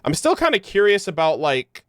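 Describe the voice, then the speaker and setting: A man's voice: high voice, wildly swooping pitch, moderate pace, fairly bright tone, no room sound. A man giving casual, slangy live reactions while playing a game, muttering asides on a close mic. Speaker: game streamer.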